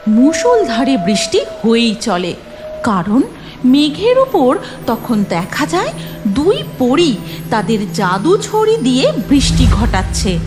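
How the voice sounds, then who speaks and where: A woman's voice: sits high, big pitch swings, takes it slowly. A woman storyteller reading a scripted narration for a children's cartoon tale. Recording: music behind voice.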